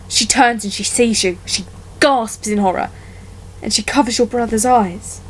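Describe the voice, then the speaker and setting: Woman acting a haunted, halting trauma survivor. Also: fairly high pitch, low-quality recording. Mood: shaken, horrified. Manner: halting, deliberate storytelling with pauses. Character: wounded young woman haunted by a childhood dog attack. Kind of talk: dramatic monologue.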